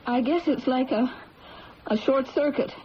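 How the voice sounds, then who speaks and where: A woman's voice: high voice, a little slow. An actress playing a troubled married woman who believes herself cursed, in an intense, dramatic radio-drama style. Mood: relieved, tender. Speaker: actress in a radio mystery play. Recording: noisy, muffled old radio recording.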